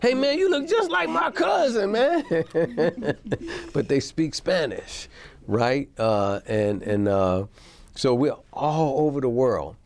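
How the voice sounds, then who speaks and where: A man's voice: a little high, lively intonation, takes it slowly, gravelly. A man hosting a talk show who speaks in a casual, rambling way and often asks "right?" as he talks.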